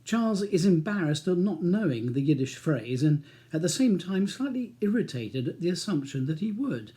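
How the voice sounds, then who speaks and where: A male author narrates his crime novel in scripted audiobook style. His voice is a little higher than average for a man and very mellow, with soft edges. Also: sing-song, normal speed. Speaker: author reading own audiobook.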